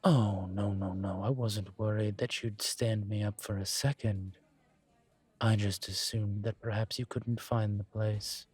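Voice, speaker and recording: A man voicing a suave, teasing incubus professor in an intimate audio roleplay, courteous and measured, with deliberate pauses. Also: on the low side, fairly even intonation, a little mellow, husky, dry recording. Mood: teasing, inviting. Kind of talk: intimate one-sided audio roleplay acting.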